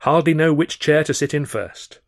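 A man's voice: on the high side, normal speed, no room sound, clean studio sound, voice pushed up, natural voice. A man voicing a coy, inviting young woman from a theatre troupe in an audiobook, lightly teasing.

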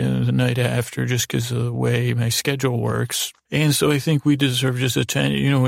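A man hosting a bedtime podcast in a meandering, halting monologue, repeating himself and pausing as he goes. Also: medium pitch, gravelly, no echo, clean studio sound. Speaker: podcast host. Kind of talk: rambling bedtime podcast monologue, meant to lull.

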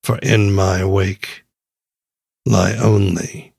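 A man's voice: low voice, flat delivery, extremely slow, vocal fry, darkish tone, a little hiss or hum, heavily acted. A man voicing a vengeful, seductive spirit of wrath in a grandiose, solemn poetic monologue with archaic wording. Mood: ominous.

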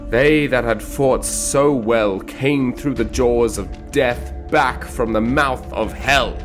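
A man's voice: mid-range voice, takes it slowly. A man giving a solemn, declamatory dramatic recitation of poetry. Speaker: poetry reader. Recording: music clearly under the voice. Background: music.

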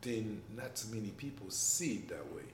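A man with a French accent talking in a casual conversation, emphatic and animated, his voice rising when he makes a point. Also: fairly low pitch, normal speed. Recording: echoey room, faint background noise.

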